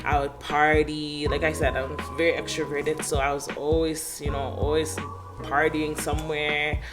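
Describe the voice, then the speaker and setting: A woman's voice: low voice, moderate pace, over loud music. A woman in her twenties, a podcast guest talking casually and animatedly, with frequent "like" and laughter. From Canada. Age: 20s. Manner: chatty and animated, lots of "like" and laughs. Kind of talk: casual interview chat.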